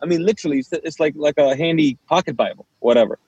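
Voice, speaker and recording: A man's voice: a little high, fast talker, breathy edge, dry recording, highs cut off. A man whose first language is Urdu, a pastor telling his story as a guest in a casual interview and acting out the dialogue. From Kuwait. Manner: animated storyteller, acts out quoted dialogue.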